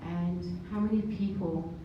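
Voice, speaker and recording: A woman's voice: lowish voice, fairly even intonation, a little slow, muffled recording. A middle-aged woman from the Netherlands gives a motivational business presentation to a live audience. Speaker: business speaker. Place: roomy live event venue. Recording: echoey, distant, noisy room recording.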